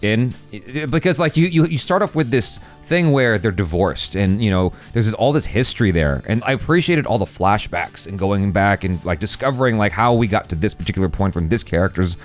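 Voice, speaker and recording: Low-voiced man rambling in lively casual chat. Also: brisk, few pauses, soft background music. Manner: rambling, hedging, slangy. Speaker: reaction show host.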